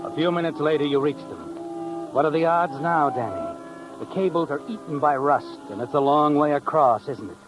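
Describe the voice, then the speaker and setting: A man acting as an American narrator of a 1950s radio thriller, mocking and ominous, with dramatic pauses. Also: a little high, lively intonation, close to an everyday voice, rough recording. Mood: taunting, tense. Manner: taunting second-person address with dramatic pauses. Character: mocking, ominous male storyteller of a crime thriller. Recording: old radio with dramatic music behind.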